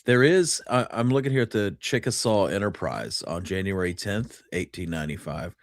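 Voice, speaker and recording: A man co-hosting a casual history discussion in a measured way, sometimes reading aloud from old clippings. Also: low-pitched, lively intonation, dry recording, studio quality. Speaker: co-host.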